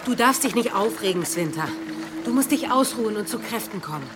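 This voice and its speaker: A woman's voice: medium pitch, animated, a little fast, over music, lightly acted. An actress playing a protective mother in a radio drama, soothing and grave in manner. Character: sombre, protective mother calming her sick daughter. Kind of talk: German radio drama acting.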